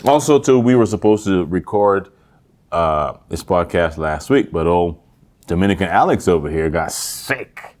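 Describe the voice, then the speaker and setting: A man's voice: low-pitched, a little slow. A man co-hosting a podcast in casual, comedic banter, animatedly acting out bits with a velvety voice. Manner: animated, acts out quotes and sound effects.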